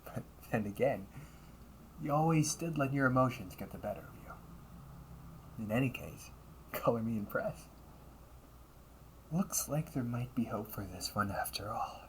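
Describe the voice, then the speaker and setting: A man voicing a cunning, condescending villain and older brother in an audio roleplay, taunting and theatrical, often dropping toward breathy near-whispers. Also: medium pitch, lively intonation, a little slow, pauses often, a little hiss or hum, poor audio. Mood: amused, condescending. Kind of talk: audio roleplay monologue addressed to the listener.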